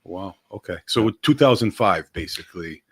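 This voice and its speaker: A middle-aged man co-hosts a casual local interview. He is chatty and curious, readily chiming in and asking question after question in a medium-pitched voice. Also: expressive, moderate pace, slightly rough. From Westerly, United States. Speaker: show co-host.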